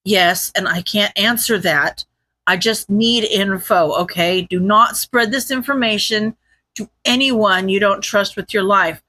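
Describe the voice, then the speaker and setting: A woman's voice: mid-range voice, unhurried, sharp tone, no echo. A woman voicing a tired, serious male hero on an urgent phone call in an audiobook-style story, speaking tersely. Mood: stressed, stern.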